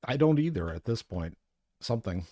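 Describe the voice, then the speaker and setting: Low-voiced man rambling over gameplay. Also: sing-song, moderate pace, mellow, no room sound, clean studio sound. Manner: rambling, many fillers and restarts. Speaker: co-host playing an online game. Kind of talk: casual gaming chat.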